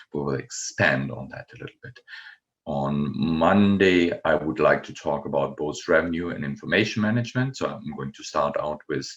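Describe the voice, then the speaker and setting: A middle-aged man from Germany with a German accent, giving a university lecture in an unhurried way with many ums and uhs. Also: extremely low pitch, a bit bright, dull audio. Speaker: guest lecturer.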